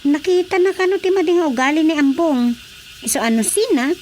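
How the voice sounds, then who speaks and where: A woman's voice: high-pitched, fairly quick, darkish tone, a little hiss or hum, close to an everyday voice. A woman playing an earnest, sympathetic and indignant cousin in a radio drama. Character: concerned, indignant female cousin, sympathetic confidante.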